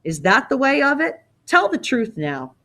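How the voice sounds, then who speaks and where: A woman's voice: sits high, very animated, moderate pace, fairly bright tone, pitched above the performer's own voice, exaggerated voice. Lady doing a scheming old king in a storybook, haughty and mocking, bossy. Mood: scornful, suspicious. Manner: imperious, mocking, probing with questions. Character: proud, scheming old king of Crete, scornful and commanding.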